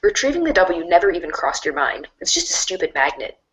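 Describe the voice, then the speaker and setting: A woman narrating a second-person storybook in an expressive, wry way. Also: lowish voice, brisk, poor audio.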